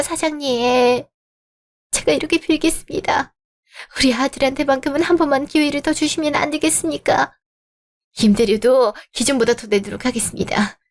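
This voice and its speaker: A scheming middle-aged mother-in-law, played by a woman in a radio drama, her high voice lofty when she looks down on others and whining when she begs. She sounds proud and pushy by turns. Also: lightly acted. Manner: haughty, later pleading and begging. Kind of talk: Korean radio drama acting.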